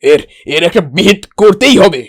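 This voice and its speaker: A self-important village landlord from a cartoon, acted by a man. The male voice is pitched well up and speaks with emphatic, theatrical weight. The recording is close and dry. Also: very animated, normal speed, slightly rough, no echo, heavily acted. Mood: outraged. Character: proud village landlord uncle, genial but quick to outrage. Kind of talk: exaggerated cartoon dialogue.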